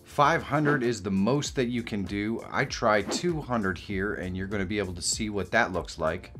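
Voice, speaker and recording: A middle-aged man hosting a casual software tutorial. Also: fairly low pitch, normal speed, music in the background. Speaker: tutorial host. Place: small indoor home studio.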